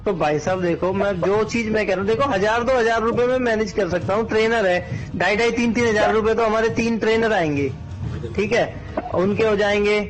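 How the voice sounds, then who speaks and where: A man's voice: sits high, a little fast, soft background music. A man negotiating casually on a phone call, explaining and haggling persistently. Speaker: snake charmer troupe organiser.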